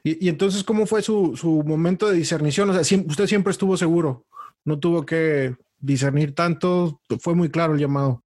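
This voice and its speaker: A man's voice: a little high, normal speed, a little mellow. A man interviewing a priest, asking short questions and giving brief replies. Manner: short prompts and backchannel replies.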